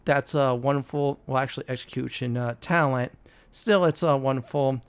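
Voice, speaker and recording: A man hosting a casual video game walkthrough, often pausing mid-sentence before key words. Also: mid-range voice, clean tone. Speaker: gaming video host.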